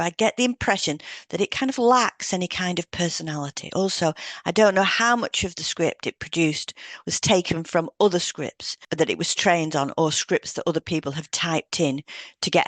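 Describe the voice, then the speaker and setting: A woman podcast co-host speaking in a measured, soothing way, as if reading from a prepared script. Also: low-pitched, dry recording.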